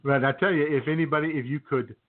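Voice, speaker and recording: A man's voice: on the high side, steady tone, fast talker. A man co-hosting a music radio show, introducing songs with casual, folksy, jokey banter. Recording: tinny phone-like sound.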